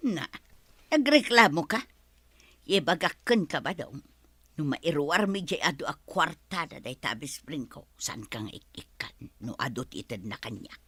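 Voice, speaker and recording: A woman's voice: low voice, expressive, unhurried, a bit bright, husky, no room sound, exaggerated voice. A woman with an Ilocano accent playing a bossy, money-minded village wife in a comedy radio drama, scolding and ordering.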